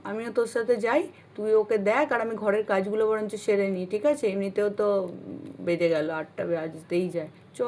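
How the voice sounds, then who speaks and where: A woman voicing a caring, fussing village wife in a doll story, in an expressive, concerned manner. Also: mid-range voice, slight background noise, close to an everyday voice. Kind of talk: amateur doll-story acting with narration.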